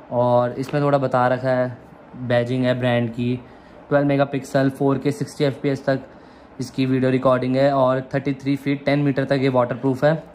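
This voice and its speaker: A young man from India vlogging casually at home, chatting excitedly as he unboxes a camera and explains each step. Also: mid-range voice, a little hiss or hum. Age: young adult. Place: room at home, indoors.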